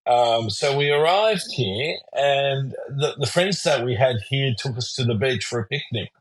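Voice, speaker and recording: A man in his sixties from Austria, a psychologist guest in an interview, telling his life story in a relaxed, rambling, self-deprecating way. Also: mid-range voice, unhurried, low-quality recording.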